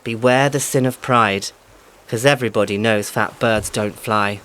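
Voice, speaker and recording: A man narrating a scripted animal fable in a measured, emphatic storytelling manner. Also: on the low side, steady tone, fairly bright tone.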